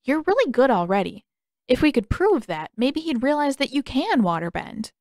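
Female reader as naive, earnest young boy for audiobook dialogue, high pitch. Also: very animated, normal speed, darkish tone, no echo, studio quality. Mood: hopeful, encouraging. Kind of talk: character dialogue in audiobook narration.

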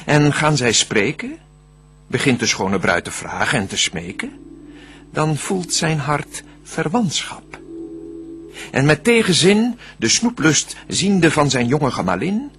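An actor playing a cunning, smooth-tongued devil in a Dutch verse radio drama, declaiming deliberately with a husky voice. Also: male voice, on the high side, huge pitch swings, fairly bright tone, faint music behind, exaggerated voice. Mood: persuasive, scheming. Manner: theatrical, scheming, deliberate declamation. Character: cunning, smooth-tongued male devil plotting to tempt mankind.

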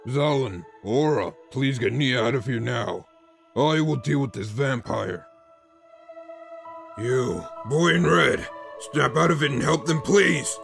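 A man playing a commanding teacher who protects students, speaking firmly and with authority in an animated roleplay drama. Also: mid-range voice, slow, vocal fry, faint music behind. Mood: urgent, commanding. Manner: authoritative, firm.

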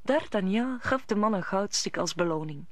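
A woman's voice: mid-range voice, expressive, moderate pace, no echo. A woman narrator telling the story of a radio play in scripted storytelling style. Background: music.

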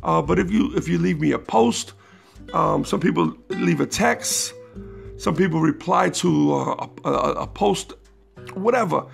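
Raspy, gravelly man hosting a podcast. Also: fairly high pitch. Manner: rambling with many restarts, growing emphatic. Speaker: podcast host. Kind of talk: casual solo podcast monologue.

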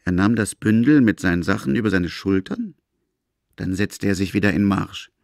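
A man's voice: low voice, animated, moderate pace, vocal fry, a little mellow, no echo, clean studio sound. A man narrating a literary radio drama as its storyteller.

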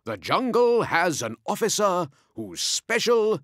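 A man's voice: sits high, sing-song, takes it slowly, dry recording, studio quality. A man narrating a rhyming story in a dramatic, scripted storyteller's delivery.

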